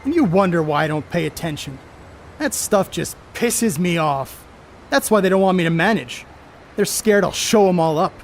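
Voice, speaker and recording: A man's voice: high-pitched, animated, normal speed, slight background noise. A man on stage playing a brash, cocky 1930s baseball star who blusters in folksy, profane slang. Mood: cocky, irritated. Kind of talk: amateur stage play acting.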